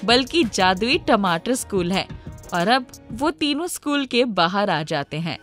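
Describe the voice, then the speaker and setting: A woman's voice: medium pitch, animated, unhurried, a bit bright. Woman narrating a story over music. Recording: music under the voice. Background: background music.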